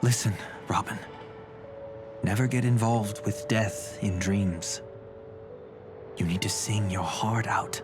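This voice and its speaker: A man voicing a composed, protective older brother in a game, with a deliberate, reassuring manner. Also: lowish voice. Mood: firm, tender. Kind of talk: game voice acting, dramatic dialogue. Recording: background music under the voice.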